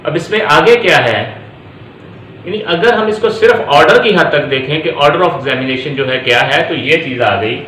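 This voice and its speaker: A man's voice: on the high side, normal speed, sharp tone. A man with a Pakistani accent giving an explanatory law lecture, going step by step, repeating himself and mixing in English legal terms. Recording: echoey room with faint background noise.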